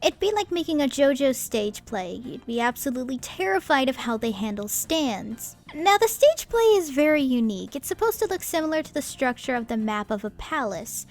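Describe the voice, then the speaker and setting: Young woman, high voice, chatty commentary host, faint background music. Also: very animated, moderate pace, few pauses. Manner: chatty, candid, wry asides. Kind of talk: casual video essay narration.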